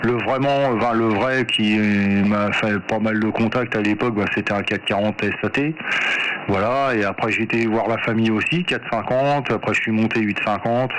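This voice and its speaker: Clear noise and clicks surround a man talking over a radio link. His voice sits fairly low. He rambles informally and hesitantly, like an operator chatting with a fellow enthusiast. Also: normal speed, fairly bright tone, poor audio. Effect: two-way radio transmission. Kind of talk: casual radio chat.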